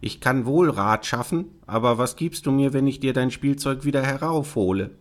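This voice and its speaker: A small storybook frog, played by a man, speaks in a raised pitch well above a low everyday register. He is insistent and emphatic, always pressing his bargain like a creature who will not be turned away. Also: moderate pace, natural voice. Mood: reassuring. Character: small pleading, insistent male frog from a fairy tale. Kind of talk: fairy-tale storybook character reading.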